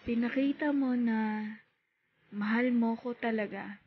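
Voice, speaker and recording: Slow husky Filipino woman, phone-like sound. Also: a little high. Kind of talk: spoken-word poetry, dramatic heartfelt monologue.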